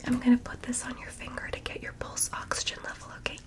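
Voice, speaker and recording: A woman whispering softly through a nurse roleplay, gentle and reassuring, checking for permission and calling the listener darling. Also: fast talker. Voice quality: soft whisper. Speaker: ASMR creator playing a nurse. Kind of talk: whispered ASMR medical roleplay.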